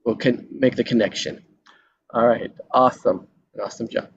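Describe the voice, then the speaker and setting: A man's voice: mid-range voice, steady tone, moderate pace, creaky. A man hosting an online table read, chatting casually. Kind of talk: casual chat. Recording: dull lo-fi call audio, faint noise.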